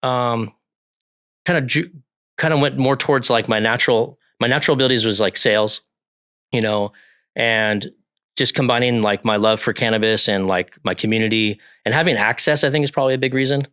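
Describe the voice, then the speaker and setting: Fast-talking man in his forties. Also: medium pitch, pushed and bright, dry recording, studio quality. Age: 40s. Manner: rambling, many fillers and restarts. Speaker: podcast guest. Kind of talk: casual podcast chat.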